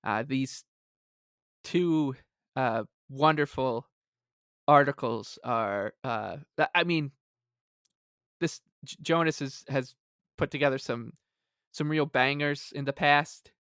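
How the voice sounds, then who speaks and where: A man co-hosting a podcast in casual chat, halting and stammering, with a wry way of talking. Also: mid-range voice, fairly bright tone, smooth, no echo, studio quality. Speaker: podcast co-host.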